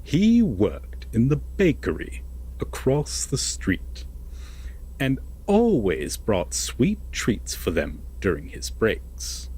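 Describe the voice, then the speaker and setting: A man playing an obsessive, possessive lover in an intimate roleplay audio drama, speaking deliberately and coaxingly with dramatic pauses and a husky voice. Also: medium pitch, wildly swooping pitch, a little mellow, heavily acted, low-quality recording. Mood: ominous storytelling. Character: possessive, obsessive lover telling a veiled fairytale.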